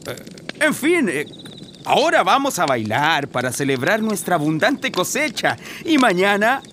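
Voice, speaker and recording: A kindly Chilean farmer father in a family radio drama. His voice sits fairly high and moves with lively intonation as he gently explains things. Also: a little slow, a little hiss or hum, full character voice. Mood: thoughtful. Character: kindly, reassuring father of an early farming family.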